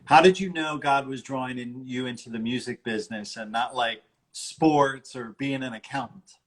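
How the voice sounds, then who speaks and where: A man's voice: mid-range voice, normal speed. A middle-aged man hosting a casual live interview over a video call, talking in an informal, chummy way. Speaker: interview show host.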